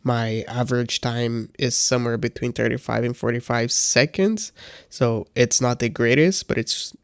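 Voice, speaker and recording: A man's voice: mid-range voice, normal speed, clean tone, no room sound, clean studio sound. A man with a congested voice giving a casual, hesitant review as a beginner, with frequent fillers and restarts. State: congested from a cold. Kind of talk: casual product review vlog.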